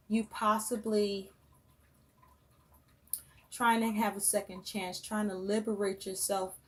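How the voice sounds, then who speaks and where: A woman giving a tarot reading for her viewers, listing phrase after phrase in a slow, measured way. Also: mid-range voice. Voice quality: voice rough and breaking, coughing. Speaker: tarot reader.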